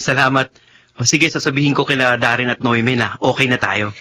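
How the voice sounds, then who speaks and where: An actor playing a brooding young boyfriend in a Tagalog radio drama, earnest and a little hesitant. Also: male voice, mid-range voice, normal speed, bright, forceful tone, close to an everyday voice. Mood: agreeable.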